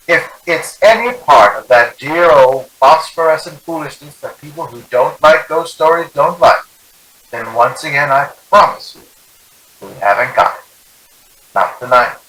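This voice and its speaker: A man's voice: mid-range voice, sharp tone, lightly acted, rough recording. A man playing a grand, wry celebrity host introducing a vintage radio thriller in a theatrical, formal manner. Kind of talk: 1940s radio presenting.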